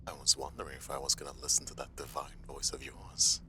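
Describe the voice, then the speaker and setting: A man playing a smug, taunting mobster villain in an audio drama, with a gravelly, mocking delivery. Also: rumbling low, completely flat delivery, a little fast. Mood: smug, menacing. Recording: noticeable hiss and background noise.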